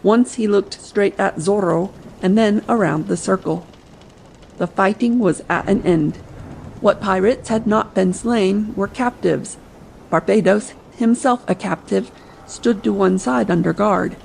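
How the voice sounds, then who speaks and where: A woman's voice: medium pitch, unhurried, vocal fry, darkish tone, slight background noise, poor audio. A woman narrating a scripted adventure audiobook.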